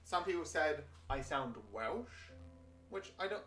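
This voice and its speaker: A man's voice: a little high, expressive, a little slow, pushed and bright, faint music behind. A man from the United Kingdom chatting casually while streaming a game, rambling with fillers and self-corrections. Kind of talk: casual chat over gameplay. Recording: roomy and distant mic.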